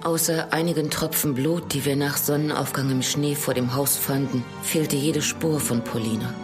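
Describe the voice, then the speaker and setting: An actress playing a grave, fearful young village woman in a horror radio drama, in a hushed, sorrowful manner. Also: sits low, fairly even intonation, normal speed, close to an everyday voice. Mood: grave, haunted. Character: sorrowful young village woman recounting her family's doom. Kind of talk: German radio drama narration and dialogue. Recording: music behind.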